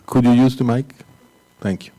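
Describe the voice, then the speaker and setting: A man's voice: medium pitch, steady tone, moderate pace, warm tone, low-quality recording. A man moderating a panel session, opening the floor to questions in a hesitant, courteous way. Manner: hesitant and courteous, with many fillers. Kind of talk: chairing a panel discussion.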